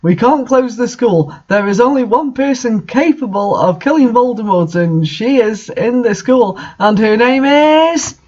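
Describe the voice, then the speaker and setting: A defiant old headmaster from a melodramatic story, put on by a man. He declaims in a high, portentous voice on a dull lo-fi recording. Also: lively intonation, measured pace, few pauses, darkish tone, exaggerated voice. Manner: portentous, emphatic.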